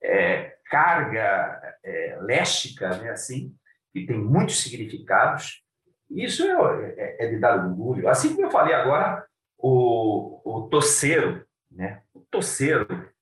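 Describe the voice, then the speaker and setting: A man from Cuiabá speaks with that region's accent, his pitch swinging widely as he talks. He stumbles, restarts and fills pauses while answering questions. His voice comes from a distance across an echoey room. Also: sing-song, a bit bright. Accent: Cuiabá Portuguese. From Cuiabá, Brazil. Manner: hesitant, many restarts and fillers. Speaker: prosecutor interviewee. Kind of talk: interview answers.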